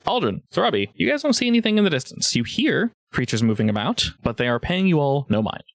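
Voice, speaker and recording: A man's voice: on the high side, big pitch swings, fast, no echo. A man improvising narration as game master of a tabletop roleplaying game, informal and joking with the players.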